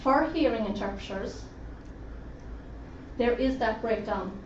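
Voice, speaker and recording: A woman's voice: fairly high pitch, unhurried, a little mellow. Woman interpreter in an echoey room. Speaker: sign language interpreter voicing a deaf presenter. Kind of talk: first-person interpreted presentation. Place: conference room at a roundtable event. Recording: roomy, distant, dull lo-fi sound with faint noise.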